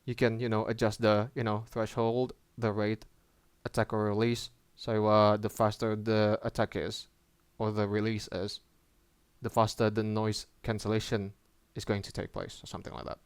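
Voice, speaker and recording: A man presenting a casual app tutorial as a voice-over, with halting, informal and hesitant phrasing. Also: fairly low pitch, level tone, clear, resonant tone, no echo.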